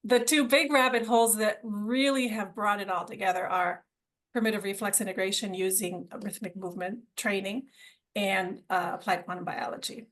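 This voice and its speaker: A woman's voice: medium pitch, steady tone, normal speed, a bit bright. A middle-aged woman, originally from Argentina, talks about her path as a guest on a podcast. She is eager and conversational, repeating words as she restarts her sentences, with an ordinary mid-height voice. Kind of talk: conversational interview storytelling.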